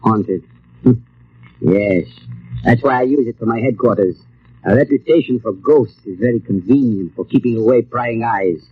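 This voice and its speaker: A man playing a grandiose, menacing villain in a 1940s radio drama, speaking in a slow, pompous, deliberate way. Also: medium pitch, husky, natural voice. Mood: commanding, menacing. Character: grandiose, menacing male villain plotting world chaos. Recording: muffled old radio recording.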